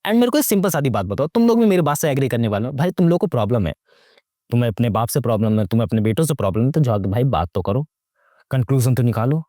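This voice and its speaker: A man's voice: medium pitch, sing-song, quick pace, dark tone, dry recording, studio quality. A young man giving casual, sarcastic movie commentary, slangy and mocking, full of rhetorical questions and mixing English into his Hindi. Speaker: YouTube movie reviewer.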